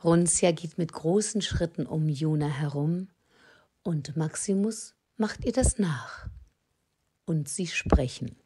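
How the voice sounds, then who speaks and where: Measured woman narrator, unhurried. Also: low-pitched, warm tone, slightly rough. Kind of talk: scripted audio drama narration.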